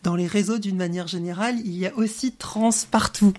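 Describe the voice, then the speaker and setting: A woman with a middle-pitched voice takes part in a casual podcast discussion. She makes her points in a mock-formal, slightly pedantic way. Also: fairly even intonation, a little fast, a little mellow. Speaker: podcast panelist.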